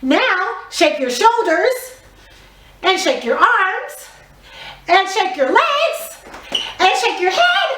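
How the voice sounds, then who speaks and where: A woman teaching a theatre warm-up to young children, giving encouraging, clearly phrased step-by-step instructions. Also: extremely high pitch, big pitch swings, slow, pauses often. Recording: roomy echo, distant microphone.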